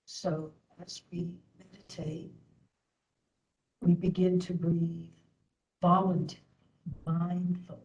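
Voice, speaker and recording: Lady doing guided meditation, really slow n gentle, mellow voice, lots of pauses. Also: lowish voice, rough recording. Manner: gentle, unhurried with long pauses. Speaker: meditation and yoga instructor.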